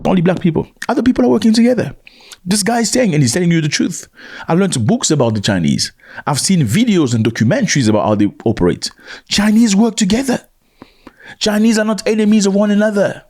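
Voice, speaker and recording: Emphatic man with a husky, lively voice. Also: sits high, fast. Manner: emphatic, repeats points, acts out quoted voices. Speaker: commentator. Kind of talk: animated commentary monologue.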